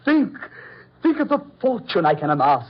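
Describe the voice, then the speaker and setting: An actor in an old-time radio horror play as a proud, coldly polite mad doctor, speaking in a deliberate, theatrical way. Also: male voice, sits high, very animated. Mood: grandiose, obsessed. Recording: muffled vintage radio drama recording.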